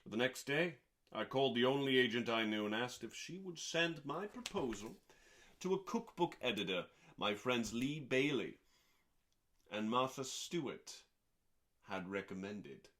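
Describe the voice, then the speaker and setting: A man reading a book aloud as a show host, in a deliberate, dramatic bedtime-story style with pauses and drawn-out words. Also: medium pitch, animated, fairly bright tone. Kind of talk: slow dramatic book reading, meant as calming bedtime listening.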